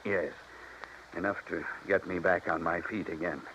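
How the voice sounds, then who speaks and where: An actor playing a bitter betrayed husband in a 1950s radio drama, intense and halting. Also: male voice, deep voice, fairly even intonation, a bit bright. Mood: shaken, relieved. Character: bitter betrayed husband, a yacht owner who survived sabotage. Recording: noisy, dull 1950s radio recording.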